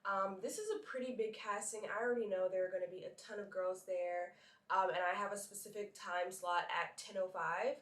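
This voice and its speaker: A woman's voice: mid-range voice, steady tone, brisk, a bit bright. A young woman in her twenties from North Carolina, chatting casually to her camera in an upbeat, filler-heavy way while congested and a little nasal from a cold. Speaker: model and vlogger. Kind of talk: casual vlog chat to camera. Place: her apartment and city streets. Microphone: handheld compact camera.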